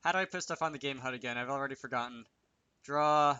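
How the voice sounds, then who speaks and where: A man with a very bright, crisp voice talks through a programming tutorial off the cuff. He thinks aloud haltingly, pausing to work things out, and the sound is close and dry. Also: medium pitch, dry recording, lo-fi sound. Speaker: game developer presenting a tutorial series. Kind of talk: unscripted screen-recorded programming tutorial.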